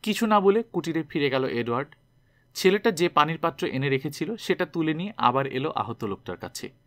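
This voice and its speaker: A man narrating a scripted story dramatically, with expressive delivery as an audiobook reader. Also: fairly high pitch, a little fast.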